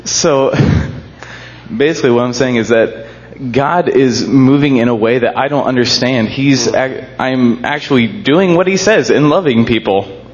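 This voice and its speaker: A young college-age man with a booming voice speaks into a handheld mic in a church hall. He sounds earnest and emotional, slightly shaky, and he jokes about himself now and then. The recording is muffled and lo-fi. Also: measured pace. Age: young adult. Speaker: college student sharing a testimony. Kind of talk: church group testimony.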